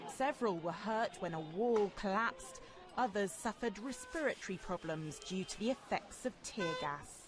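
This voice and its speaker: A woman news correspondent delivering a measured, formal broadcast news report. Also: mid-range voice, lively intonation, music in the background.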